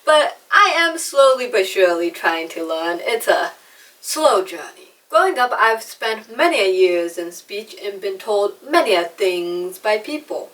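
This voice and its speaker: A woman's voice: mid-range voice, sing-song, unhurried, a bit bright, distant mic, poor audio. Chatty young woman with a slight lisp. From China, raised in America. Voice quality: slight lisp, trouble with R sounds. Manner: chatty, self-deprecating, mimes words out. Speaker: vlogger. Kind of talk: personal storytelling vlog.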